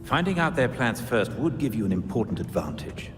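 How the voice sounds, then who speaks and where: An actor playing a loyal elderly butler in a video game, with a formal, measured, discreet manner. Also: male voice, mid-range voice, very animated, long reverb tail, over music, lightly acted. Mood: measured, grave. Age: older. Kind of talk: video game cutscene acting.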